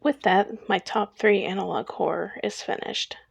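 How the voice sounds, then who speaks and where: A woman's voice: medium pitch, steady tone, normal speed, creaky, breathy edge, no echo. A young adult woman narrating a scripted personal video essay.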